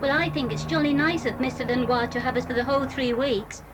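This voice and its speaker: A woman's voice: high voice, fairly quick, close to an everyday voice. A woman playing an enthusiastic, jolly schoolboy on a holiday adventure in a children's audio drama. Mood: eager. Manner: eager, jolly. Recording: noisy old cassette tape.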